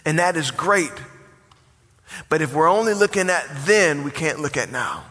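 Bright, high-voiced preaching pastor. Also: male voice, very animated, moderate pace. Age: 40s. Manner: preaching with rising emphasis and call-and-response. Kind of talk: sermon. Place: church sanctuary. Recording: long hall reverb.

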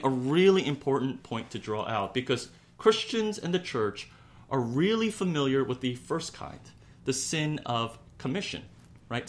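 A man preaching a sermon as a pastor, speaking in a measured, earnest way with deliberate pauses and repetition. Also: medium pitch, a bit bright.

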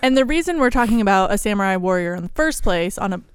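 A playful podcast co-host chats casually and laughs often. The voice is smooth and clear, in a mid pitch. The sound is dry and close. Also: lively intonation, fast talker, no echo. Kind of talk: casual chat.

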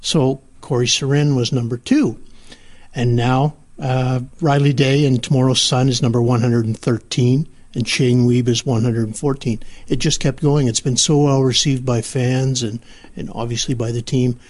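A man's voice: medium pitch, fairly even intonation, moderate pace, darkish tone. Male sports reporter telling stories. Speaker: newspaper sports reporter, guest. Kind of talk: casual podcast interview.

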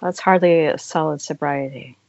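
A woman playing a dry, weary, skeptical wife with a husky voice in an amateur table read over an online call. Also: low-pitched, fairly even intonation, moderate pace, a little mellow, a little hiss or hum. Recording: dull lo-fi Skype call.

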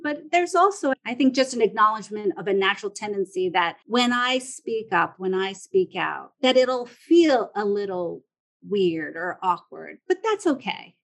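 A woman explaining her thoughts conversationally, as an interview guest. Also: on the high side.

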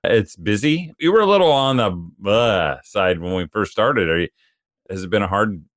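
A low-pitched man co-hosting a casual podcast, spinning everyday stories with easy laughter. He drops into playful quoted voices as he goes. The sound is close and dry, with no room around it. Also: animated, moderate pace, dry recording. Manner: chatty storyteller, laughs often, acts out quoted voices. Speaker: podcast co-host.

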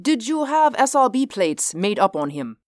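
A man's voice: high-pitched, big pitch swings, normal speed, no room sound, studio quality, pitched above the performer's own voice. A man voicing an agitated, demanding security chief in an audiobook story. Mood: relieved, curt. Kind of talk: audiobook character dialogue.

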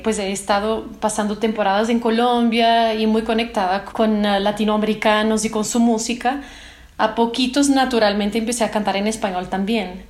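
A woman's voice: on the high side, reverberant. A woman from Portugal whose first language is Portuguese, a singer answering interview questions thoughtfully while searching for words. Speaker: singer and guest.

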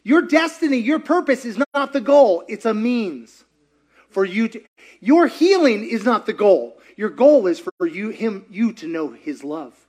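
High-voiced man preaching in repetitions. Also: expressive, unhurried, darkish tone. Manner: preacherly, repeats lines for emphasis, asks the audience questions. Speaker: pastor. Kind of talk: sermon-style teaching.

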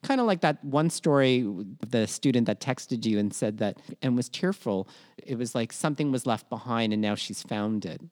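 A man's voice: mid-range voice, animated, normal speed, a little mellow. A man co-hosting a podcast, speaking in a reflective, wordy way with academic phrasing. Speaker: podcast co-host.

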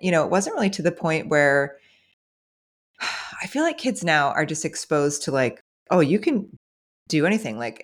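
A woman in her forties from Tennessee hosting a podcast, chatty and excitable, often jumping in. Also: sits low. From Franklin, Tennessee, USA. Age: 40s. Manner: excitable, chatty, quick to interject. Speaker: podcast host. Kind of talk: casual interview chat.

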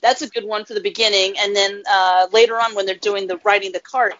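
A woman's voice: medium pitch, steady tone, brisk, fairly bright tone, dull audio. A woman co-hosting a casual podcast, rambling and amused as she recalls film trivia. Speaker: podcast co-host. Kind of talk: casual chat.

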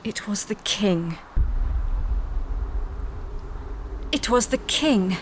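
An actress playing an ancient, dying alien great-grandmother in a sci-fi audio drama, grave and serene, with a deliberate delivery. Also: medium pitch, animated, background noise, lightly acted. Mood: grave, firm. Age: older. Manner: slow, deliberate, solemn.

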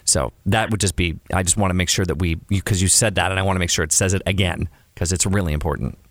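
Very deep-voiced male host. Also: fairly even intonation, fast talker, dry recording, studio quality. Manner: agreeable, frequent affirmations. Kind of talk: casual interview chat.

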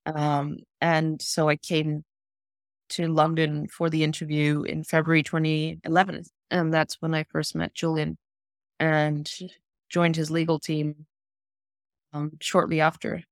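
A woman from South Africa, a human rights lawyer, answering interview questions in a measured, thoughtful way with pauses. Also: low-pitched, completely flat delivery, no room sound, clean studio sound.

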